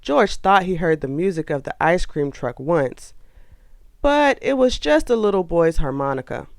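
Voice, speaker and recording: A woman narrating a children's storybook aloud in an expressive, animated way. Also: lowish voice, moderate pace, no room sound, studio quality.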